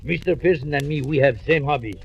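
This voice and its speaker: An actor playing a genial, chatty French fishing guide with a French accent and broken English, in old-time radio style. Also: male voice, fairly high pitch, a little fast, gravelly. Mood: jovial, chatty. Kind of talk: 1940s radio acting. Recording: muffled, noisy old radio recording.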